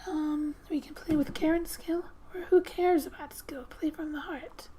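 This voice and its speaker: A soft-spoken woman reading game text aloud in a gentle, hushed ASMR style with musing asides, often slipping into a whisper. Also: sits high, fairly even intonation, normal speed, a little hiss or hum. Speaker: gaming ASMR host.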